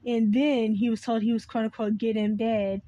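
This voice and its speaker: A woman's voice: on the high side, little pitch movement, normal speed, warm tone, lo-fi sound. Rambling woman, cracking voice. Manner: rambling, loses her train of thought, restarts. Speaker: video creator. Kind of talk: unscripted monologue.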